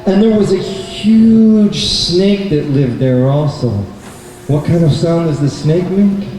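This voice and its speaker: Guy telling kids a story at a puppet show, slowish, kinda higher voice, roomy far off sound with faint noise. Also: mellow, soft background music. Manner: deliberate, asks the children questions. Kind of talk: children's storytelling to a live audience. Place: indoor bookstore event, live. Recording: echoey room, distant mic, faint noise.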